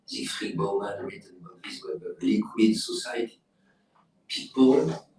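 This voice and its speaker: A man from France with a French accent, speaking English haltingly in a group discussion and slipping into French words. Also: on the low side, expressive, darkish tone. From France, outside Paris. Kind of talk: discussion in a seminar. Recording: echoey room from far off with faint noise.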